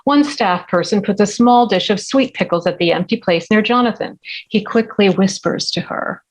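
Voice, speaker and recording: Mid-pitched woman reading stage directions. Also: moderate pace, poor audio. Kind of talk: scripted narration at a table read.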